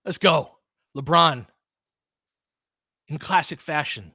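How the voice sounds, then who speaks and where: A man doing live basketball play-by-play as an excitable, partisan fan, chatting casually with his viewers. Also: fairly high pitch, slow pace, creaky, no echo, studio quality. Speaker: fan play-by-play commentator, streamer.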